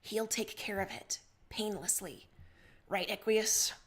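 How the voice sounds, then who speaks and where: A woman's voice: medium pitch, slow pace. A woman voicing a blunt, impatient young male rebel emperor in a read-aloud story. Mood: grim.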